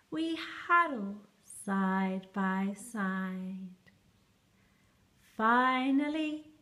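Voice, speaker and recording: A woman reading a children's picture book aloud in an expressive, measured storytelling style. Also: a little high.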